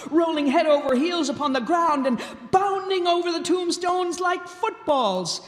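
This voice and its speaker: An older woman tells a classic tale on stage with theatrical expression. Her voice sits a little high. It carries through a large hall with long reverb. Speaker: storyteller. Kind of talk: dramatic live reading of a classic tale.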